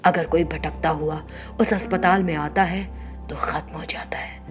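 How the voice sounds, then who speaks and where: A woman's voice: low voice, steady tone, unhurried, faint music behind. A woman narrator reading a scripted horror story.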